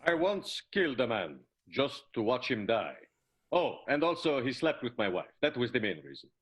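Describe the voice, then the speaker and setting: A man's voice: medium pitch, big pitch swings, moderate pace, muffled recording, over loud music, close to an everyday voice. An actor playing a melodramatic, ardent leading man with florid, lingering delivery in an improvised radio soap opera. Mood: menacing boast. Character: melodramatic ardent silent-film leading man, jealous husband.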